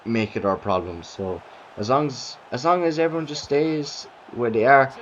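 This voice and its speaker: A man's voice: medium pitch, moderate pace. A young Irish man talking casually to camera as a vlogger, rambling, with many restarts and fillers. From Ireland. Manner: rambling, repeats himself, frequent restarts and fillers. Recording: dull lo-fi sound.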